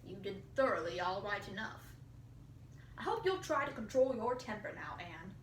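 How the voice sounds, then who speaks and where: A woman's voice: medium pitch, lively intonation, moderate pace, pitched below the performer's own voice, close to an everyday voice. Storybook country elder female reader: homely, plain-spoken, chiding yet kindly; roomy recording with faint noise. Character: plain-spoken older country folk, gruffly kind and folksy. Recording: roomy, distant mic, faint hiss.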